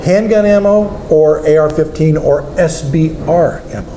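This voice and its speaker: A man's voice: a little high, normal speed, dark tone. A man co-hosting a gun range talk show, explaining points patiently and urging listeners to get involved. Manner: agreeable, explains and urges listeners. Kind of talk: casual chat. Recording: echoey room with faint noise.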